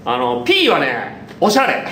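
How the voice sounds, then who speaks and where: A man's voice: huge pitch swings, measured pace, sharp tone. A man in his thirties hosting a casual game show in a playful, teasing way. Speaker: quiz master on a web variety show. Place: small indoor studio, close to camera. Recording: noisy, echoey low-quality live webcast.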